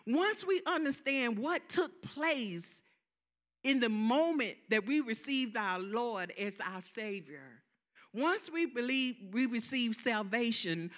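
A woman's voice: fairly high pitch, fairly bright tone. A woman with a Southern accent preaching a sermon, emphatic, repeating key phrases and calling out for amens.

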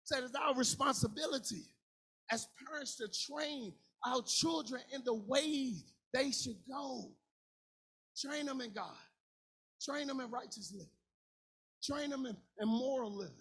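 A man in his forties preaching a sermon, impassioned and colloquial, swinging between shouted lines and a half-sung cadence. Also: very high voice, measured pace, husky. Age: 40s. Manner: colloquial, informal grammar.

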